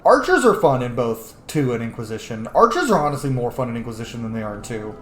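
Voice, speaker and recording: A man's voice: mid-range voice, sing-song, fast, reverberant. A man hosting a gaming video, giving casual, opinionated and emphatic commentary on his gameplay.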